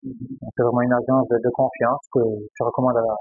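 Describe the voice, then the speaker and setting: A man from Belgium giving a testimonial as an agency client, halting with frequent restarts. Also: mid-range voice, very animated, vocal fry, breathy edge, phone-quality sound, faint music behind. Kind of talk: testimonial interview.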